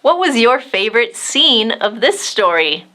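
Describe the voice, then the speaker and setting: A woman hosting a children's storytime, reading a picture book aloud in an expressive, measured way addressed to young listeners. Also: fairly high pitch.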